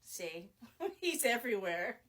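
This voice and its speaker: A middle-aged woman who runs a small shop, chatting casually as she shows off products, her voice going hoarse, with frequent fillers and laughs. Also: fairly high pitch, very animated, slow pace, sharp tone, a little hiss or hum.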